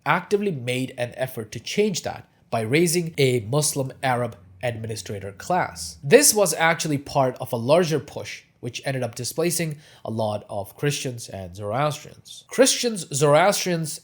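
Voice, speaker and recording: A young man whose first language is Urdu reads a scripted history lesson in a mid-range voice. He hits words like "however" and "additionally" with a lift, then lets each sentence settle lower. The delivery is steady and explanatory. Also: normal speed, few pauses. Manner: lively emphasis on transitions, falling at sentence ends. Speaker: history narrator.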